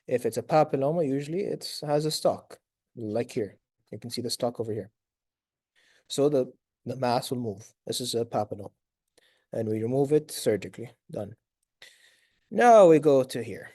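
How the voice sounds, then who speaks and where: Raspy man teaching, dark dry voice. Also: medium pitch, lively intonation, dry recording. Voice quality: raspy from long talking. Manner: quizzes students, praises answers briskly; sprinkles Arabic fillers like tamam, inshallah. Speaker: medical lecturer. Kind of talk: lecture to students.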